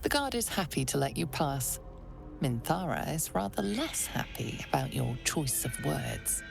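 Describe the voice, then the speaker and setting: A woman acting as narrator of a dark fantasy game, composed, measured and portentous. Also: low-pitched, sing-song, vocal fry, over music, exaggerated voice. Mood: dry. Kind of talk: scripted second-person game narration.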